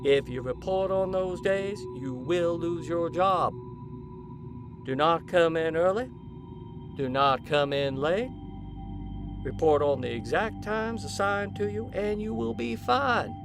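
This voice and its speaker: Asylum doctor, male actor: controlling, ominous, formal, creaky voice on the higher side. Audio drama. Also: lively intonation, music in the background, heavily acted. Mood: ominous, warning. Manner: formal, authoritative, deliberate.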